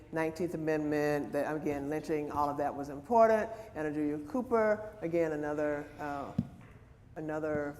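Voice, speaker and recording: A woman giving an informal public presentation, speaking haltingly and repeating phrases as she takes questions. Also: deep voice, a little mellow. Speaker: presenter of a history talk. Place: large hall at a church program. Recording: echoey hall, faint noise.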